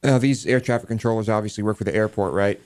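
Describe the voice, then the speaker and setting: American guy co-hosting, kinda low smooth clear voice, casual studio banter. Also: fast talker, darkish tone. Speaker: talk show co-host. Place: radio and TV studio.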